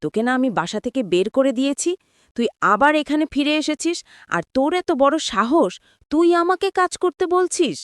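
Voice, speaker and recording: A woman's voice: high voice, animated, fairly quick, dry recording, clean studio sound, pitched above the performer's own voice. A woman voicing a cruel middle-aged stepmother who scolds and threatens in a cartoon. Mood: threatening. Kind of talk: cartoon voice acting.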